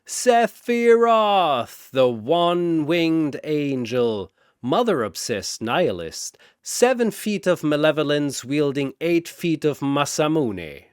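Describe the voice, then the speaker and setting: A male narrator delivers scripted story narration with theatrical drama. His pitch swings widely, the pace is very deliberate, and the sound is dry and close. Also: big pitch swings, clear, resonant tone, no room sound.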